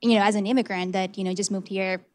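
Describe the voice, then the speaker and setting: A woman's voice: medium pitch, steady tone, fast talker. A woman with a Colombian Spanish accent speaks candidly and casually on a panel. She leans on plenty of "you know" and "like" fillers. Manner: candid, chatty with many fillers. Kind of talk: panel discussion answer.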